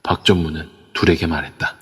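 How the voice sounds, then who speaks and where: A man reads the narration of an amateur audio drama in a steady, even storytelling voice. His voice sits very low and sounds husky. A long reverb from the voice chat room trails behind it. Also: fairly quick. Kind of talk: scripted narration.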